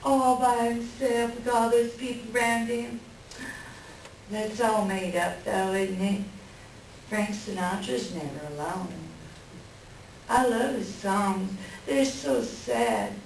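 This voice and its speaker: A woman playing a tender, plain-spoken friend in a staged play reading, gently questioning. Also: medium pitch, takes it slowly. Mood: admiring. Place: library reading before a microphone at some distance. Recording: very echoey room, distant mic, faint noise.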